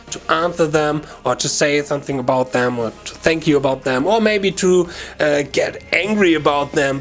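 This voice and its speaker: A man with a German accent hosting a guitar lesson, talking to viewers in an informal, chatty way with halting self-corrections. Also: fairly high pitch. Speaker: guitar teacher and video channel host. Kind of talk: casual video lesson talk to viewers.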